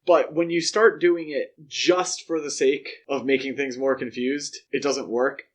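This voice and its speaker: A man's voice: medium pitch, fairly even intonation, a little slow. A man co-hosting a podcast, giving opinionated, analytical film criticism in a casual conversational way. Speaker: podcast co-host.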